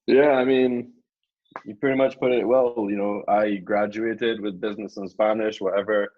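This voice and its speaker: Casting: young man, husky low voice, earnest guest, dull lo-fi call sound. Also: fairly even intonation, normal speed, no room sound. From Caribbean. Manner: earnest, repeats words while thinking. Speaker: podcast guest. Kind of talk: casual podcast discussion.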